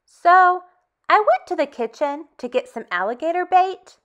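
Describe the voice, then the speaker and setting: A woman hosting a storytime for young children, reading and chatting in an animated, expressive, deliberate way. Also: very high-pitched, muffled recording. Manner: animated, expressive, deliberate phrasing with dramatic hushes. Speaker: storytime host. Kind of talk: children's read-aloud, addressing young children.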